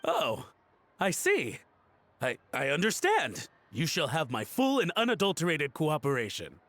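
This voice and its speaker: A man's voice: on the high side, huge pitch swings, a little slow, a bit bright, full character voice. A man voicing a nervous, grovelling suspect in a video game, flustered, stammering and over-eager to please. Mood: eager relief. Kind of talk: animated video game voice acting.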